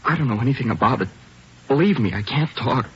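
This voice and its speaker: A man's voice: mid-range voice, animated, quick pace, breathy edge. An actor playing a frightened, shaken teenage boy who pleads in a strained voice in a vintage radio drama. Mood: terrified. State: shaken, near tears. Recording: muffled old radio recording.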